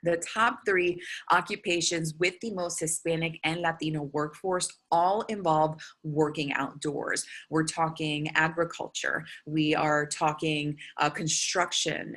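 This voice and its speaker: A woman meteorologist giving a prepared conference presentation, earnest and steady, with frequent filler sounds. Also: sits low, measured pace.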